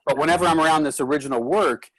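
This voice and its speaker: A man's voice: on the high side, racing, no echo, low-quality recording. A man moderating a casual discussion in an encouraging, conversational way. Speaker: actor moderating a Q&A.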